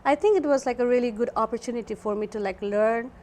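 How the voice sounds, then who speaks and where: A woman's voice: on the high side, fast talker, a little mellow, a little hiss or hum. A woman in her twenties from Nepal with a Nepali accent, chatting as a guest esthetician and filling her talk with "like" and "you know". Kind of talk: casual interview chat.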